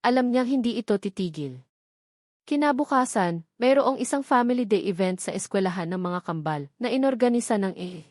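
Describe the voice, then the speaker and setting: A woman narrates a scripted romance in a dramatic storytelling style. Her pitch sits in the middle range for a woman. The recording is dry and close, with hardly any room sound. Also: expressive, normal speed, a little mellow, no echo.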